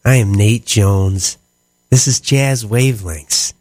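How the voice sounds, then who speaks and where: Nasal, sniffly deep-voiced radio jazz host. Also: male voice, slow pace, a little mellow, dry recording. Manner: rambling with many fillers and laughs. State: stuffy, sniffly. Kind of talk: casual radio talk between songs. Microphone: studio microphone.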